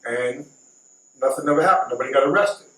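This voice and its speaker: A man's voice: mid-range voice, quick pace, fairly bright tone. A middle-aged man telling a story casually, repeating and recapping for emphasis. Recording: echoey, distant mic, faint noise.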